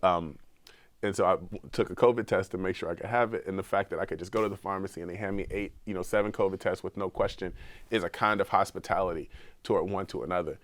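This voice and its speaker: A man's voice: low voice, steady tone, fast talker, no room sound. A middle-aged man with a cold, talking earnestly in a podcast conversation and repeating words as he searches for his point. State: has a cold, sniffling. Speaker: guest researcher.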